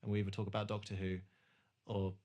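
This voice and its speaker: A man's voice: lowish voice, completely flat delivery, quick pace, very noisy. A man hosting a podcast in casual chat, rambling, jokey and given to swearing. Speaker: podcast host.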